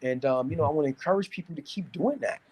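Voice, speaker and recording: A man from New City, talking casually and giving opinions in a halting way, repeating words as he searches for phrasing. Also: a little high, a little mellow, husky. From New York City, United States. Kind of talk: casual discussion, opinionated talk.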